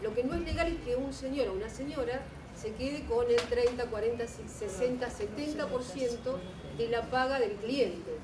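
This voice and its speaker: A woman's voice: a little high, normal speed. A woman from Argentina with an Argentine accent, asking an earnest, insistent question at a panel discussion. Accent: Argentine Spanish. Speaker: audience member asking a question. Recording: echoey room, distant mic, noisy.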